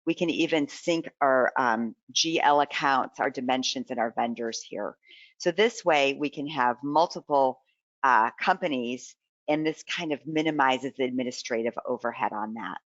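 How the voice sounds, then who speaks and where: Software demo presenter, mid-range voice, informal walkthrough, thinking aloud, dull dry recording. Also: mid-range voice, normal speed, dry recording. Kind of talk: live product demonstration with casual explanation.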